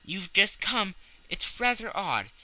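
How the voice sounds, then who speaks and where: A man narrating an audiobook voices a courteous Victorian medical man with brisk, emphatic delivery. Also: sits high, sing-song, sharp tone, pitched above the performer's own voice, heavily acted. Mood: brisk, puzzled. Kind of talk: audiobook character dialogue.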